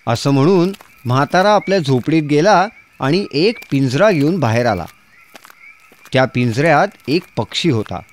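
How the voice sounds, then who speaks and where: A man's voice: a little high, expressive, moderate pace. A man narrating a scripted children's fairy tale as storyteller.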